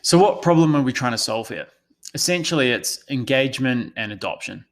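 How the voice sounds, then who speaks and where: A man with a New Zealand accent giving a prepared webinar presentation as a consultant, in a measured, explanatory, professional manner. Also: medium pitch, expressive. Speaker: analytics consultant presenting a session.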